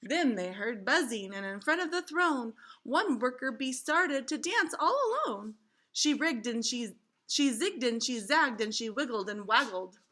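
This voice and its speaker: A woman reading a storybook aloud to children in an expressive, instructive way, like a teacher. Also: on the high side, a little slow.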